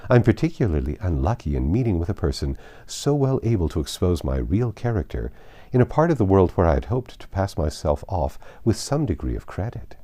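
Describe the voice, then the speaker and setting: A man voicing a witty, playful young gentlewoman in a storybook reading, with an arch, articulate manner. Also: deep voice, sing-song, brisk. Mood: playful indignation. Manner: arch, teasing, formally articulate.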